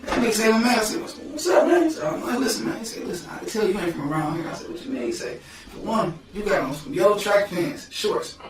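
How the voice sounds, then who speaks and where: A man with a Detroit accent, a guest rapper telling stories in slangy, animated casual podcast talk. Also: high-pitched, quick pace, few pauses, roomy sound, distant mic, crowd noise, rough recording. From Detroit, USA.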